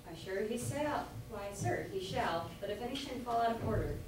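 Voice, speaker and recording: A woman's voice: on the high side, animated, normal speed, darkish tone, lightly acted. Woman as anxious boy player, echoey and faint. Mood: apologetic. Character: apologetic, anxious boy player, male child. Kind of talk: amateur staged reading of Jacobean comedy. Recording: distant echoey room, background hiss.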